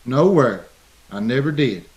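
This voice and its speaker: An American man playing a simple, timid old soldier drifter in a radio Western, meek and halting. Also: medium pitch, lively intonation, a little mellow, close to an everyday voice. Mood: artless. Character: simple, timid, homely Yankee veteran drifter.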